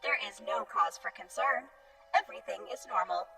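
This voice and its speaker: Audio drama: PA announcer, female actor. High, creaky, formal, reassuring; distant, loud music over her. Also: normal speed. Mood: official, reassuring. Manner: formal, official. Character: calmly reassuring public-address announcer.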